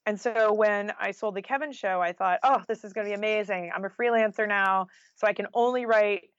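A woman's voice: mid-range voice, fairly quick, no echo. A woman chats as an interview guest in a casual, anecdotal way, sprinkling in conversational fillers. The recording is dry and close, with a slightly dull, lo-fi sound. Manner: chatty, anecdotal, with frequent "like" and "you know". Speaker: podcast guest, freelance journalist and author.

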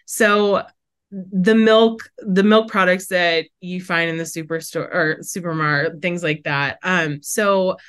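Midwestern lady in her 40s, kinda low voice, bubbly and chatty, says like a lot, podcast guest. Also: steady tone, takes it slowly, a bit bright, clean tone. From Wisconsin, USA. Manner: bubbly, chatty, lots of "like" fillers. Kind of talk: casual interview chat.

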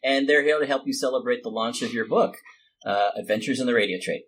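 A man talks as the host of a loose, casual conversation. He is playful and teasing, quick to get the giggles, in an ordinary mid-range voice. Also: medium pitch, a bit bright, slightly rough. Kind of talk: casual chat.

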